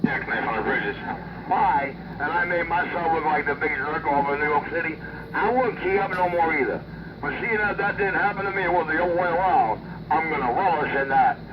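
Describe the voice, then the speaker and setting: A man chatting over CB radio, rambling and halting as he jokes back at the other operator. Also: on the high side. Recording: muffled CB transmission with loud static.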